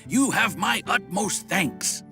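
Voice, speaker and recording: A man's voice: sits high, expressive, slow pace. An actor playing an effusive, over-polite, jovial male landlord in an anime-style video game. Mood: grateful. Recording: game music behind the voice. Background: game music.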